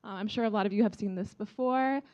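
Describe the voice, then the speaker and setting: A woman's voice: mid-range voice, fast talker, darkish tone, lo-fi sound. A young woman with an American accent gives a friendly, informal presentation to students as an embassy official. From China. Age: young adult. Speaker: embassy vice consul presenting. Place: event talk before an audience.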